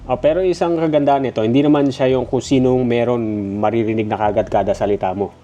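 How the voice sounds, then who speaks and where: A man with a Filipino accent talks through a product in a casual, conversational way. His voice is soft-edged and mellow at an average male pitch, and he sounds stuffed up in the nose. Faint music plays behind him. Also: fast talker, highs cut off. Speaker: product reviewer. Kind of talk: casual, conversational gadget review.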